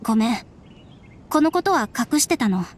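An actress voicing a subdued young woman in a Japanese game, speaking in a measured, wistful and serious way. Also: a little high, sing-song, slight background noise. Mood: wistful, hurt. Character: subdued, resigned young woman, estranged daughter of strict scholar. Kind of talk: Japanese anime game voice acting.

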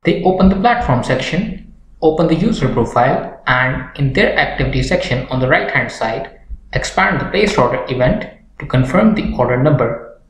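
A young man presenting a software tutorial in a scripted narration style. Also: medium pitch, expressive, normal speed. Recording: roomy echo with background noise, clicks.

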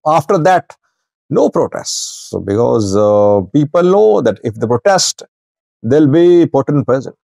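A middle-aged man giving informal political news commentary to camera, speaking haltingly in short broken phrases. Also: medium pitch, animated, creaky, mellow, no echo. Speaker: news commentator, channel host. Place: small indoor office with wood-panelled wall. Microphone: small clip-on lavalier at chest.

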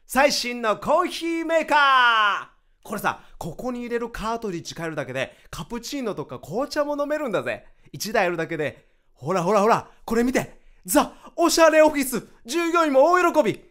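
A man's voice: extremely high pitch, big pitch swings, moderate pace, a bit bright, heavily acted. A man acting in a radio drama as an upbeat, chatty vice president of a new startup. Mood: excited, cheerful. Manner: exuberant, chatty, swinging from cheers to concern.

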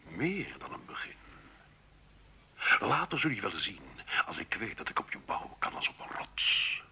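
A man's voice: lowish voice, big pitch swings, unhurried, gravelly, bright, forceful tone, lo-fi sound, full character voice. An actor playing a menacing, obsessed medieval nobleman in an old radio drama, imperious and theatrically intense. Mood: dark, commanding. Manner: imperious, intense, swinging between hissing whisper and outburst.

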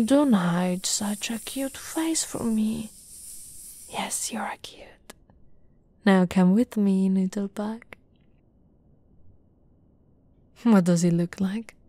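A young woman playing an affectionate, teasing lamia girlfriend in an ASMR roleplay, soft-spoken and often whispering, with a breathy, coaxing delivery close to the mic. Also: mid-range voice, no echo, exaggerated voice. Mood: tender, coaxing. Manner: slow, breathy, coaxing delivery with pet names. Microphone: close, dry microphone.

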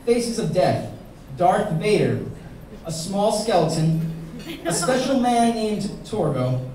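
A man's voice: high-pitched, very animated. A young man giving a best man's toast at a wedding, reading from a page into a handheld mic and joking at his own expense. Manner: joking, self-mocking, pausing for laughs. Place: large banquet hall at a wedding, indoors. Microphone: handheld mic, filmed by a far camera. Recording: echoey hall from far off, crowd laughing.

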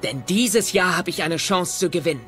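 An actor playing a wry, self-deprecating teenage Viking boy, an underdog with a dry, hesitant manner, in an animated radio play. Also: high-pitched, moderate pace, soft background music. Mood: wry, hopeful.

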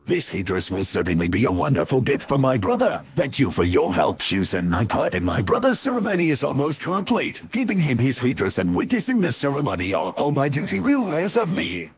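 A man's voice: medium pitch, huge pitch swings, a little fast, hardly any pauses. A man voicing an earnest, formal Tauren tribesman reading out a fantasy game quest. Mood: grateful, solemn. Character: earnest male Tauren tribesman proud of his brother. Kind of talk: scripted quest narration.

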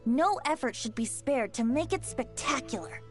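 Boyish, lilting young bard. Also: female voice, sits high, expressive, moderate pace, faint music behind. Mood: eloquent. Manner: theatrical, flowery, teasing. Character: boyish, playful young male bard, whimsical and eloquent.

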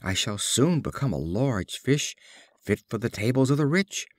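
A man's voice: medium pitch, expressive, normal speed, no room sound, close to an everyday voice. A man voicing a small fish in a storybook fable, humbly pleading for its life.

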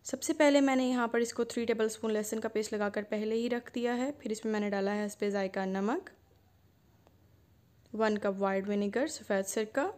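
A woman, hosting a cooking channel and calmly narrating a recipe step by step. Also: on the high side, moderate pace, clean tone. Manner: calm step-by-step instruction.

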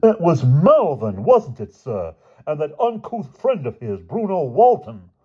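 A man's voice: a little high, big pitch swings, unhurried, husky, dull audio, pitched above the performer's own voice, exaggerated voice. A man voicing a prim, self-important rich schoolboy with an affected, aggrieved manner in a children's audiobook. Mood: indignant accusation. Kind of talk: children's novel audiobook character voice.

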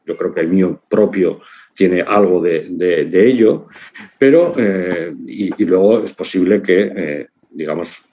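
A man in his fifties with an accent from Spain, giving an informal conference talk over a video call in a reflective, halting way, often checking in with short tags. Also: sits low, warm tone, lo-fi sound. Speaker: congress speaker, writer and philosopher. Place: living room at home, on a video call.

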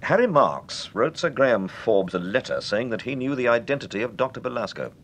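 A man with an English accent playing an urbane, composed, probing gentleman detective in an old-time radio drama. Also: animated, a little fast, close to an everyday voice. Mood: explanatory. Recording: noisy radio recording with low rumble.

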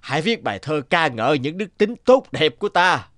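A man's voice: fairly high pitch, very animated, fairly quick, fairly bright tone, dry recording, studio quality. A man voicing a greedy, vain, blustering village landlord in a cartoon, pompous and bossy. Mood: smug. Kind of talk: cartoon dubbing.

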